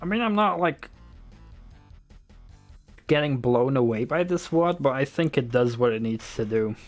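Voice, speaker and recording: A man's voice: medium pitch, expressive, measured pace, soft background music. A young man from the Netherlands with a light Dutch accent, streaming a shooter game and chatting casually with his viewers in a rambling, loose way, swearing now and then. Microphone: desktop USB microphone.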